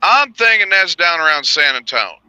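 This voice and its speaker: Male co-host, bright tense voice, dry close mic, laughing storyteller. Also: on the high side, fairly quick, clean tone, no room sound, rough recording. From Texas. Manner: animated storyteller, laughs a lot. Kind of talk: casual chat, storytelling.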